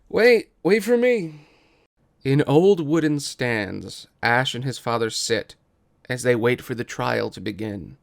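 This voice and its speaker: Gravelly male story narrator. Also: mid-range voice. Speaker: narrator of a table read.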